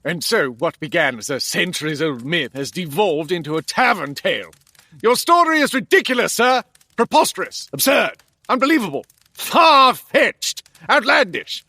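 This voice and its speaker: An actor playing a pompous, scoffing, dim-witted eccentric detective, theatrically piling up synonyms in an audio drama. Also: male voice, high-pitched, big pitch swings, normal speed, sharp tone, no room sound, exaggerated voice. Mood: indignant, dismissive. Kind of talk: full-cast audio drama acting.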